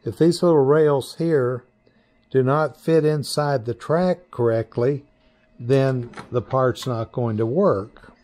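An older man in his sixties or beyond, informally explaining and demonstrating products in deliberate, halting phrases with pauses. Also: mid-range voice, darkish tone. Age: 60s or older. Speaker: maker presenting his 3D printed products. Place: small indoor workshop.

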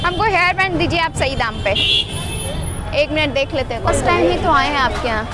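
A woman's voice: sits high, animated, brisk, loud music behind the voice, a loud crowd. A young woman from India hosting a casual shopping vlog at a busy outdoor street market, chatting and haggling with stall keepers over a phone camera. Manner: chatty, bargaining with shopkeepers. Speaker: vlogger.

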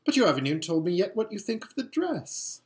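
A woman's voice: mid-range voice, very animated, quick pace, voice pushed up, natural voice. A woman voicing a vain, lazy, giggly young noblewoman in a storybook, with an affected drawl and gushing manner.

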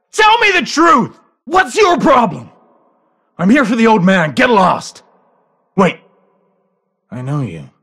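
An actor playing a menacing, vengeful masked hunter in a video game, with a raspy, taunting, threatening delivery. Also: male voice, a little high, a bit bright, heavily acted. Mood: menacing, mocking. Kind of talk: dramatic video game voice acting.

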